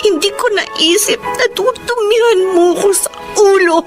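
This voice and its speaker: A woman's voice: very high voice, a little slow. An actress with a Filipino accent playing a bitter, hurt wife betrayed by her husband in an emotional radio drama. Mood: wounded, reproachful. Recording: loud music bed under the voice.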